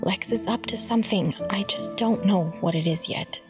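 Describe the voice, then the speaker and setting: A woman playing a determined, grieving journalist in a motion comic, earnest and hushed. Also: mid-range voice, normal speed, over music, close to an everyday voice. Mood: resolute, troubled. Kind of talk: dramatic motion comic voice acting.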